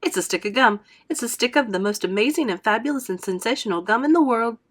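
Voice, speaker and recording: A woman voicing an eccentric, excitable male candy inventor who boasts grandly about his latest invention. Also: on the high side, fast talker, lightly acted. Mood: boastful.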